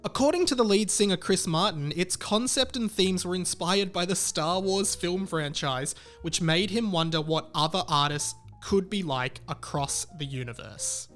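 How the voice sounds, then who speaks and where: Higher-voiced man reviewing music casually. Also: moderate pace, few pauses, fairly bright tone. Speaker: music reviewer and show host. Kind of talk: casual album review commentary. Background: music under the voice.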